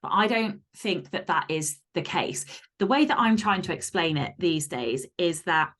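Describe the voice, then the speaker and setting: A woman's voice: fairly low pitch, expressive, normal speed, a bit bright. A woman speaking as an author guest in a casual interview, candid and emphatic, with some casual swearing.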